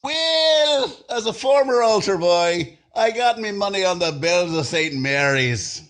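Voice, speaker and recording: A man's voice: high-pitched, animated, unhurried, fairly bright tone, full character voice. A middle-aged man playing a cheerful, wisecracking Irishman with an Irish accent in 1940s radio comedy style. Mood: wry, playful. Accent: put-on Irish.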